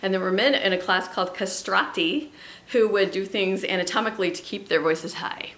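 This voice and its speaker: A woman's voice: mid-range voice, fairly quick, fairly bright tone, reverberant. A woman who sings soprano, teaching a music lesson to students at home and explaining patiently, with fillers and little laughs. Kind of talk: remote classroom lesson.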